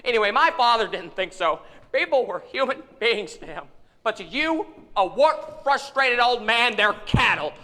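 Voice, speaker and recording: A man's voice: extremely high pitch, big pitch swings, normal speed, bright, forceful tone, in a large hall, exaggerated voice. A young actor in a live stage radio play, playing an earnest young man who stammers when he gets worked up. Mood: impassioned. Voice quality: frequent nervous stammers. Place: theater stage, long reverb. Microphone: vintage stand microphone on stage.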